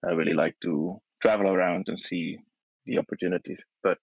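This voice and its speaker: A young man with a low voice, whose first language is Dutch, chats as a podcast guest. He often restarts his sentences and laughs softly between thoughts. The recording is dry and close. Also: steady tone, brisk, gravelly, slightly rough, no echo, highs cut off. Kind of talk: casual chat.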